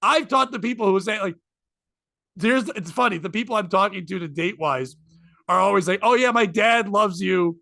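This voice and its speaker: A man's voice: high-pitched, moderate pace, vocal fry, pushed and bright, no echo, clean studio sound. A man in his forties, a podcast host, chatting casually and candidly, rambling and swearing freely. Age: 40s. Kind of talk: casual chat.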